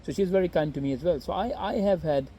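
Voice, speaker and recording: A man's voice: high-pitched, quick pace, dark tone, a little hiss or hum, low-quality recording. A young man from Pakistan, raised in Saudi Arabia, telling his story in an earnest, rambling way with many fillers and restarts, outdoors on a city street. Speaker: interview guest. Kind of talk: casual outdoor interview.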